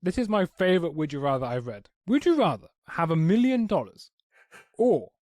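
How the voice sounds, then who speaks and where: A man's voice: a little high, very animated, normal speed, darkish tone. A man co-hosting a podcast in casual, bantering chat with some swearing. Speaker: podcast co-host.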